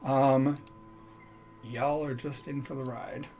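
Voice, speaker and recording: A man's voice: medium pitch, level tone, slow, music in the background. A man giving casual, self-deprecating and hesitant commentary as he streams a game.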